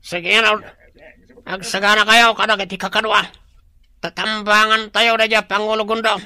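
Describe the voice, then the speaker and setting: An actor playing a sly, obedient male henchman in a radio drama, with a creaky, sharp voice. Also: sits high, level tone, moderate pace, no room sound. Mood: scheming.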